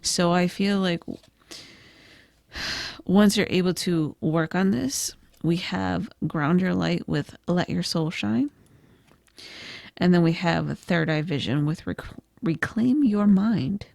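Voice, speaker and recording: A woman losing her voice gives a gentle, reflective tarot reading, with frequent "I feel like" and "kind of". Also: fairly low pitch, slow pace, creaky, pauses often, dry recording, studio quality. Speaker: tarot reader. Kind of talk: pick-a-card tarot reading.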